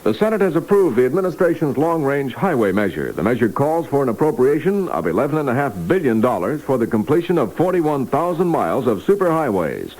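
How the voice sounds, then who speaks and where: Man reading 1960s radio news, widely varied pitch. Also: darkish tone, rough recording. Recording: vintage 1960s AM radio aircheck.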